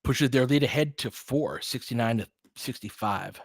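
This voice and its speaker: A man's voice: mid-range voice, moderate pace, breathy edge, no room sound, studio quality. A man giving informal, candid basketball play-by-play and opinionated commentary as the host of a fan stream.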